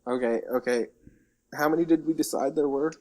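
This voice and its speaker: A man's voice: on the high side, brisk, darkish tone. A man co-hosting a casual podcast, jokey and given to repeating words for emphasis. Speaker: podcast co-host. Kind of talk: casual banter about games and films.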